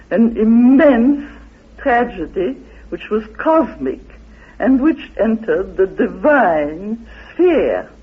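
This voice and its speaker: Emphatic high-voiced man on tinny radio. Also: husky. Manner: emphatic, halting, repeats phrases for weight. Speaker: interviewed guest. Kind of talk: impassioned explanatory discussion. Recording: narrow, tinny old radio recording.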